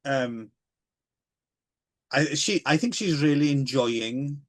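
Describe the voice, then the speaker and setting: A man's voice: medium pitch, slow. A man co-hosting a podcast, chatting casually and rambling about films, with many hesitations and asides. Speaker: podcast co-host.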